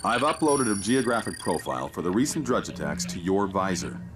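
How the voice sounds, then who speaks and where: A commanding man guiding a soldier through an alien invasion in a video game. His delivery is businesslike and technical, with a lively, wide-moving pitch. Music plays behind him. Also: brisk, low-quality recording. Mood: measured, explaining. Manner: clipped, instructive, technical, businesslike. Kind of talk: scripted video game voice acting.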